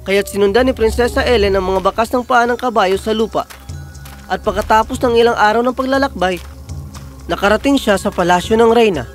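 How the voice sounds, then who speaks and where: A man with a high voice serves as narrator. He reads a scripted fairy tale, with soft music underneath. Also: fairly quick.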